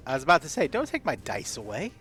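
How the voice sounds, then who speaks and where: A man's voice: on the high side, wildly swooping pitch, quick pace, a bit bright, soft background music. A man talking casually as a player in a live tabletop roleplaying game.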